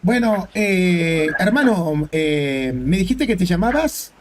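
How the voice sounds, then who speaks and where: A man's voice: sits high, very animated, slow, background noise. A man with a Rioplatense Spanish accent hosting a religious debate, arguing his points with rhetorical questions. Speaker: debate host.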